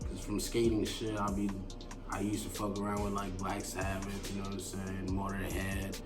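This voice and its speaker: A low-pitched man, picked up a little away from the mic over clear music. He talks in a loose, laid-back, rambling way, full of slang and swearing, as a producer being interviewed. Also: monotone, normal speed. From born in Kenya to Somali parents, moved to America at eleven. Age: 20s. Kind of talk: casual, slangy interview chat. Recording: distant mic with music behind.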